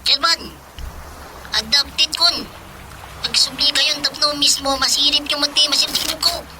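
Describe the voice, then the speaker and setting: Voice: high voice, steady tone, a little slow, very noisy. A performer with an Ilocano accent playing an eager, cheeky village watchman in a radio drama comedy, giggly and full of exclamations. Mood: flustered. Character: eager, cheeky village watchman sidekick, snooping errand-runner.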